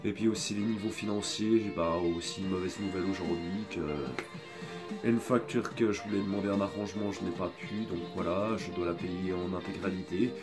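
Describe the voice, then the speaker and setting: A man's voice: deep voice, fairly even intonation. A man with a cold and a sore throat, talking in a hesitant, rambling way in a casual apologetic video, filmed on a phone. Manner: hesitant, rambling, many pauses. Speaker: video creator. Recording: background music playing.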